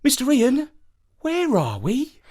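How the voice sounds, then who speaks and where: A man voicing a curious, excitable young boy in a children's story. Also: very high voice, slow pace, pitched above the performer's own voice, heavily acted. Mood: excited wonder.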